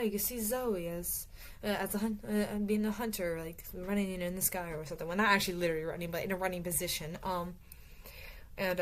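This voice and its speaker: A woman casually recapping a novel for her book review channel, rambling with lots of fillers and restarts, her voice growing tired. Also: on the low side. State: voice getting tired.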